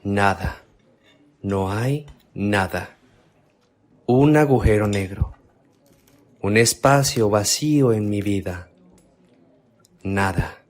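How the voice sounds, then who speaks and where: A man's voice: fairly low pitch, slow, long pauses. An actor playing an earnest young man raised from the dead in a devotional radio drama, speaking emphatically.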